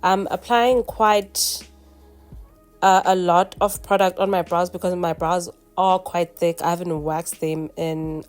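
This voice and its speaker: A woman's voice: fairly low pitch, a little mellow. A young woman, congested from a cold, chatting through a makeup tutorial and explaining each step. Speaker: makeup vlogger.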